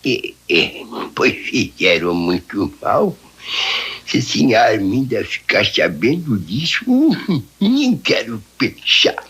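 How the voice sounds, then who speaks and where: A man playing a gentle, troubled old man with a creaky, quavering voice in a radio drama. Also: mid-range voice, big pitch swings, slow pace, exaggerated voice. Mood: worried, chiding.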